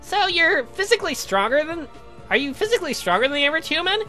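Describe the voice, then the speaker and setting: A man's voice: very high voice, big pitch swings, fast talker, sharp tone, soft background music, pitched above the performer's own voice, full character voice. A man voicing a naive, nosy, confused young man in a game, blurting out hesitant questions. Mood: curious.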